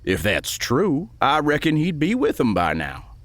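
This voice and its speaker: An actor playing a nervous, mannerly old banker with a folksy, hesitant way of talking in a western audiobook. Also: male voice, on the high side, huge pitch swings, measured pace, a bit bright, no room sound, full character voice. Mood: wary, explaining. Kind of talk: western audiobook character acting.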